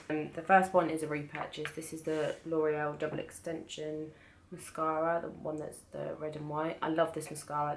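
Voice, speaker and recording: A British woman with a croaky voice from being ill, chatting casually through a beauty haul with lots of hesitant restarts. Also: low-pitched, a little hiss or hum. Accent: British English. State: croaky from illness. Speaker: beauty vlogger. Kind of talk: casual product haul chat.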